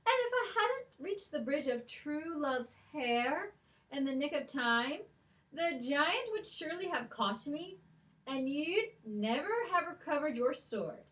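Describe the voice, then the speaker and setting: A woman's voice: sits high, fairly bright tone, heavily acted, low-quality recording. Molly, a woman playing a wry plucky heroine. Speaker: librarian puppeteer.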